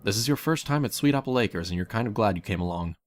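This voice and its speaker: Low-pitched man narrating a story. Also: lively intonation, fast talker. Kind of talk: scripted fan fiction narration. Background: music.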